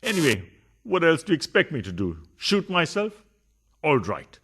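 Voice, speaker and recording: A man's voice: on the high side, huge pitch swings, normal speed, pushed and bright, husky, exaggerated voice. A man acting a pompous, scheming adulterous politician husband in a farcical radio play, with a sarcastic, theatrical delivery. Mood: melodramatic.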